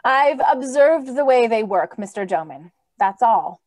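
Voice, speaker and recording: A woman's voice: fairly high pitch, expressive, a little slow, a little mellow, no room sound. A young woman playing a polite, curious and refined lady visitor in old-time radio drama style.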